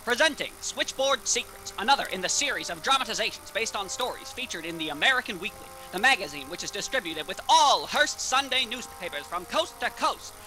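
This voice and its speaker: Man acting as old-time radio announcer, declamatory, theatrical, bright forceful voice, pitched high. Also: expressive, brisk, hardly any pauses, soft background music, full character voice, poor audio. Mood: showy, declamatory. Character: showy 1930s radio announcer. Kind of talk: 1930s radio announcing, scripted.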